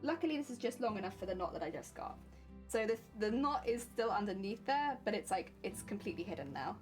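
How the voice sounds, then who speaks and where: A woman's voice: medium pitch, animated, fast, faint music behind. A woman chatting casually on a livestream, explaining her sewing steps as she works and laughing often. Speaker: cosplay crafting streamer.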